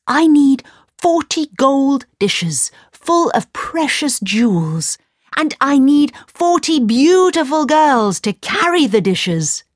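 Woman doing a high, eager young hero. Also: very animated, slow pace, no echo, studio quality, heavily acted. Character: eager young man with a magic lamp.

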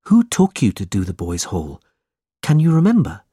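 A man's voice: fairly low pitch, huge pitch swings, moderate pace, dark tone, slightly rough, no echo, studio quality, heavily acted. A man voicing a brave, protective young man in a storybook, speaking earnestly and with defiance.

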